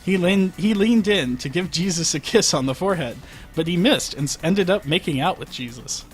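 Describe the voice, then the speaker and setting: Wry, high-voiced man reading aloud. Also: lively intonation, normal speed. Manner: wry, breaks off to comment on the text. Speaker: story reader. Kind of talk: comic fan fiction reading on a livestream. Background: faint music.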